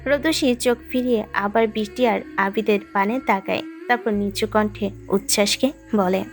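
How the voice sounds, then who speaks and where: A woman's voice: mid-range voice, moderate pace. A woman reading a scripted romantic story as its narrator. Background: background music.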